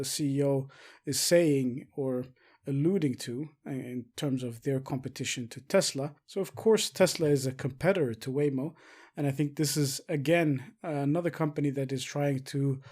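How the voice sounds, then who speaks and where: A man presenting investing commentary as a show host, speaking haltingly with frequent filled pauses. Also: mid-range voice, darkish tone. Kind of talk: semi-scripted stock market commentary.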